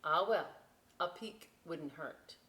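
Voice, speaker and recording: A woman voicing a steady, watchful female lighthouse in a storybook, motherly, reassuring and cautioning. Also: sits low, slow pace, heavily acted. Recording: roomy echo, clear background noise.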